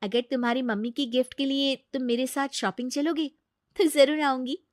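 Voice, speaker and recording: Female narrator as kind, stubborn young storybook heroine, high lifted voice, dry sound. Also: fairly even intonation, normal speed, dry recording, close to an everyday voice. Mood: cheerful. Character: kind, headstrong, independent young woman.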